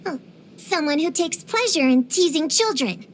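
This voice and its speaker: A woman's voice: high-pitched, expressive, normal speed, a little hiss or hum. A woman voicing an earnest, bookish young girl witch hunter in a video game, precocious, proud and slightly dramatic. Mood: indignant, determined. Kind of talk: scripted game voice lines.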